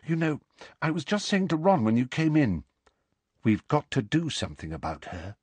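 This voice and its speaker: A man's voice: a little high, big pitch swings, normal speed, breathy edge, no room sound, voice pushed up. A man voicing an earnest, bossy clever teenage girl who talks eagerly and insistently.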